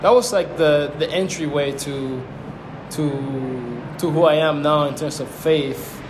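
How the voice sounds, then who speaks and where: A man's voice: fairly high pitch, steady tone, takes it slowly. A young man from Cuba whose first language is Spanish, speaking as an interview guest in a reflective way and searching for his words. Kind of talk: casual conversation. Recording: roomy with background noise.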